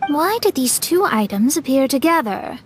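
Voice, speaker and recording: An actress voicing a composed young woman adventurer who reasons aloud in a measured way. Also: high voice, very animated, slight background noise. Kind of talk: game voice acting. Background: music.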